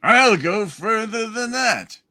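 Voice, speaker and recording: A scornful old banker villain, hammed up by a man reading a radio play, pompous and cold. He speaks slowly in a bright, forceful voice that rises and falls dramatically. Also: sits high, lively intonation, pitched above the performer's own voice, heavily acted.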